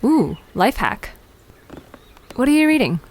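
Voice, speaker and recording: A woman's voice: high-pitched, sing-song, unhurried, full character voice. A woman playing a curious, teasing young park visitor in an audio drama, chatting in a casual, playful way. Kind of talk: conversational audio drama acting.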